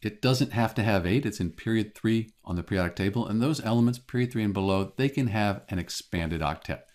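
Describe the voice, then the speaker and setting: A man teaching chemistry in a calm, methodical voice-over, explaining step by step. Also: lowish voice, brisk. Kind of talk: scripted lesson voice-over. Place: voice-over over a drawing screen.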